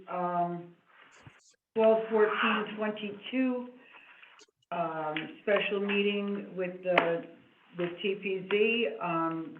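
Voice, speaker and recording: A soft-spoken woman runs a town committee meeting, pausing on fillers as she moves through the agenda. Her voice sits on the low side. The sound is tinny and phone-like, in an echoey room with a faint hiss. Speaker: committee chair.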